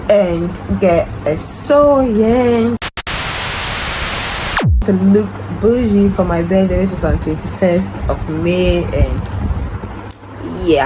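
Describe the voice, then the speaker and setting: A woman in her twenties chatting casually in a home vlog, rambling and self-deprecating, her voice groggy and deep from the morning. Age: 20s. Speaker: vlogger. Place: at home, indoors. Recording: noticeable background noise. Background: noise.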